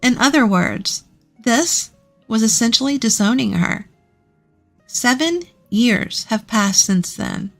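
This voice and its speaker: A woman's voice: fairly high pitch, lively intonation, takes it slowly, faint music behind. A woman reading a scripted first-person story as its narrator.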